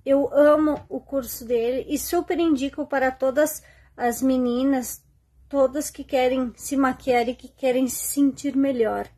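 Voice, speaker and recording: A woman's voice: high-pitched, steady tone, a little slow, mellow. A woman from Rio Grande do Sul with a southern Brazilian accent, giving an earnest selfie testimonial. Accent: Rio Grande do Sul Brazilian Portuguese. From Jaquirana, Rio Grande do Sul, Brazil. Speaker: course student giving a testimonial. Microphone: phone at arm's length.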